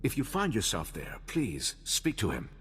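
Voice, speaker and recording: Earnest, formal diplomat for game cutscene. Medium pitch, very expressive intonation, conscientious temper. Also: male voice, moderate pace, in a large hall, slight background noise. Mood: troubled, grateful. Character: earnest, principled male Republic diplomat, measured and troubled. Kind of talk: video game cutscene acting.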